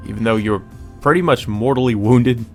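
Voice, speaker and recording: A man's voice: mid-range voice, normal speed. Casual man reading a visual novel over music. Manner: casual, profane asides. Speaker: let's play host. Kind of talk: visual novel read-aloud with casual commentary.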